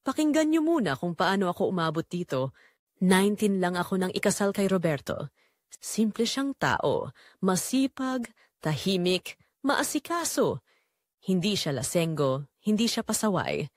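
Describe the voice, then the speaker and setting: A woman plays a discontented Filipino wife and mother in an audio drama, confessing her betrayal. Her voice sits a little low and moves expressively up and down, measured and reflective. The sound is dry and close. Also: dry recording. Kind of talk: first-person scripted audio drama narration.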